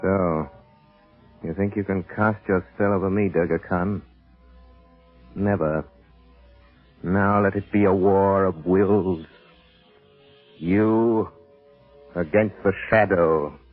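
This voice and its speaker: An actor playing a mysterious, resolute crime fighter with a measured, intense manner in 1940s radio drama style. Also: male voice, low-pitched, fairly even intonation. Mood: defiant, ominous. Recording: dull old radio recording, music behind.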